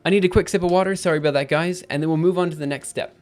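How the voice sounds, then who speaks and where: A man with a slightly high, slightly raspy voice talks his way through his code on a livestream, chatty and upbeat as he goes. Keyboard clicks and faint music play underneath. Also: fairly even intonation, rapid-fire, darkish tone. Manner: thinks aloud while typing, chatty and upbeat. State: slightly raspy after a drink. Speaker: programming livestreamer. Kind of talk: live coding stream, casual talk-through.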